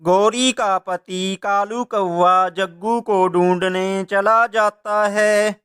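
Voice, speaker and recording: Very slow, clear storytelling narrator, male. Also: sits high, steady tone, a bit bright. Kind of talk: scripted children's story narration.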